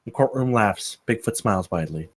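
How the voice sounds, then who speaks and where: A man's voice: on the low side, lively intonation, brisk, breathy edge, dry recording, studio quality. A man reading out stage directions as narrator at a screenplay table read.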